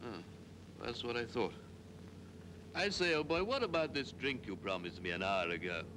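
An actor playing a languid, petulant upper-class English drinker in a 1940s British film. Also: male voice, lively intonation, moderate pace, close to an everyday voice. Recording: noisy old film soundtrack, vehicle rumble.

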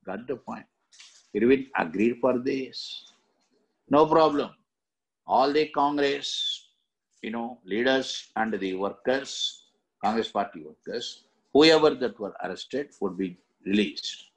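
A middle-aged man with an Indian accent and a husky voice, teaching exam questions in emphatic, choppy phrases with frequent check-in tags. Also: medium pitch, lively intonation, slow, rough recording. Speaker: exam coaching history teacher. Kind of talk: lecture explaining exam questions.

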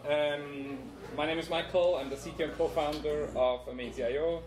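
A man's voice: on the high side, fairly even intonation, moderate pace, a crowd in the background. A man from Switzerland with a Swiss accent, presenting a conference talk and live demo with many ums, explaining step by step. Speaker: conference speaker. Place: conference room. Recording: echoey room with faint noise.

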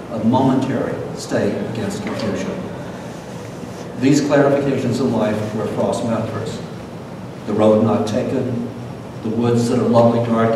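An older man giving a scripted public lecture as the host of an event. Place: meeting room, at lectern, far camera. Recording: very echoey hall from far off, noisy rumble.

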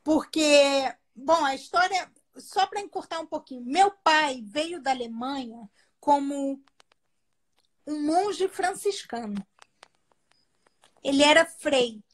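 A woman's voice: high voice, slow, low-quality recording. A woman in her forties from Bahia with a Bahian accent, a guest on a home video call who tells stories and laughs easily. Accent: Bahian Brazilian Portuguese. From Bahia, Brazil. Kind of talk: casual live chat interview. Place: living room at home. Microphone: phone or webcam on a video call.

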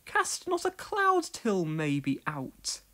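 A woman's voice: medium pitch, wildly swooping pitch, a bit bright, voice pushed up, exaggerated voice. A woman voicing a fussy, flustered older village lady who chatters primly and frets easily. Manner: prim, chattering, quick to fret.

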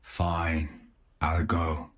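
Very deep, solemn ninja. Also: male voice, flat delivery, fairly bright tone, breathy edge, heavily acted. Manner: measured, terse. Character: solemn, calm cyborg ninja man.

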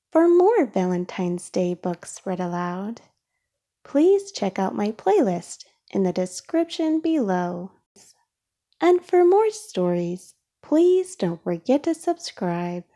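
A woman reading a children's storybook aloud in a careful, expressive way for young listeners. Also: mid-range voice. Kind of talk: read-aloud storybook narration.

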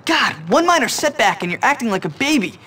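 A man's voice: extremely high pitch, sing-song, brisk, bright, forceful tone, a little hiss or hum, heavily acted. A man playing a small, nagging, put-upon teenage schoolboy in a video game, whiny and needling. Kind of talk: video game cutscene acting.

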